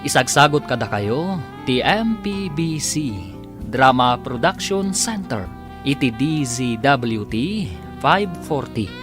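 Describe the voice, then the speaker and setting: A man announcing a radio drama and reading its credits slowly and formally. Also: mid-range voice, animated. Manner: slow, measured reading. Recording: radio broadcast with music bed.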